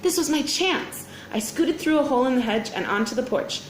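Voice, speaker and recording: Female read-aloud storyteller for kids, bright-eyed teacher delivery, highish voice, dramatic phrasing, echoey room. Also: animated, a little fast. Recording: roomy echo, faint background noise.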